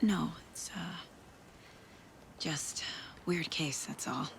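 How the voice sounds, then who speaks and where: A woman's voice: sits low, extremely slow, creaky, slight background noise, heavily acted. An actress playing a composed, serious investigator and mother in a naturalistic video game drama. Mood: concerned. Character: composed professional female investigator and caring working mother.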